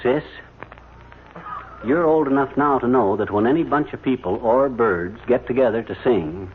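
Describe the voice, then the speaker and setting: A man's voice: medium pitch, moderate pace, narrow, tinny audio, lightly acted. A man playing a folksy, patient storyteller who spins tales for a child in homely phrases, in old-time radio comedy style. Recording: thin, hissy old radio recording.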